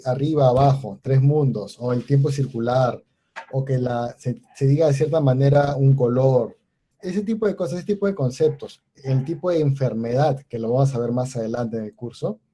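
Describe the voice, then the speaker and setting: Dark-voiced professor lecturing. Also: male voice, medium pitch. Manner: unhurried, explanatory, with many restarts and fillers. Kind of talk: online class lecture.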